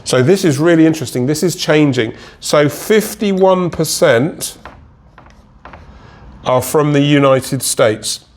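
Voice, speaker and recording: A man's voice: on the high side, slow pace. A man with a head cold and a slightly nasal voice, talking casually to viewers as an online selling consultant and often checking in with them. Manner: chatty, checks in with listeners with "right?" and "okay?". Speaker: Etsy consultant. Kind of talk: casual direct-to-camera explainer.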